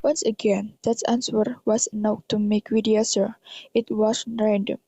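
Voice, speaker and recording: A woman's voice: mid-range voice, flat delivery, unhurried, music in the background. A young woman with an Indonesian accent reading scripted horror drama narration in non-native English. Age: young adult.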